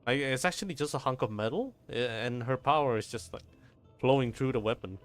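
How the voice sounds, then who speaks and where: A man's voice: mid-range voice, a little fast, faint music behind. A man doing casual live gameplay commentary as a streamer, rambling, stammering and swearing freely. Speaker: game streamer.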